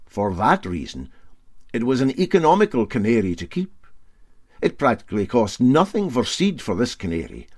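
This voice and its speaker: Scottish man narrating with lively intonation. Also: medium pitch, moderate pace, no room sound, clean studio sound. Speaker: audiobook narrator. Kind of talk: scripted storytelling narration.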